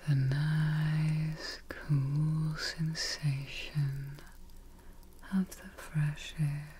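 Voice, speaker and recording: Very slow, whispery woman guiding meditation. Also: low voice, little pitch movement, slight background noise. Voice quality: breathy, soft, mostly whispered. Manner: soothing, gentle, unhurried, pausing often between short phrases. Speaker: ASMR host guiding meditation. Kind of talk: soft-spoken, whispered ASMR guided meditation. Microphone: close ASMR microphone.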